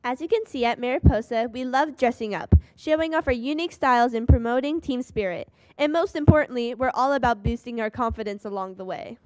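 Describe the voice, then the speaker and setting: A young student presenter reading a school report aloud at a desk microphone, with small slips. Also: female voice, on the high side, fairly quick, few pauses, darkish tone, no room sound.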